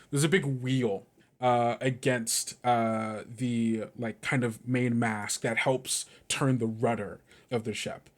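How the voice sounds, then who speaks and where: Male game master narrating with halting delivery. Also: medium pitch. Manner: halting, vivid descriptive phrasing. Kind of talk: improvised tabletop game narration.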